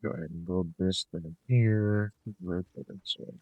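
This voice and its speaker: A man giving relaxed, rambling commentary on a game he plays, with a slightly nasal voice because he is coming down with a cold. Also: deep voice, moderate pace, no echo, phone-quality sound. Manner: relaxed, rambling, gives tips while playing. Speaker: gameplay commentator. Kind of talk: casual let's-play commentary.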